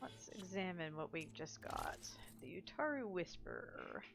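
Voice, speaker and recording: A woman's voice: fairly low pitch, huge pitch swings, unhurried, gravelly, background noise. A woman giving casual gameplay commentary in a folksy way, saying y'all. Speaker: gaming video host. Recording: game music behind voice. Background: loud game music and sounds.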